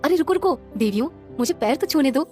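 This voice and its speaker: A woman voicing a humble, devout, patient poor young woman in a cartoon moral story, speaking gently and earnestly. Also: high voice, expressive, fast, faint music behind. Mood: kindly.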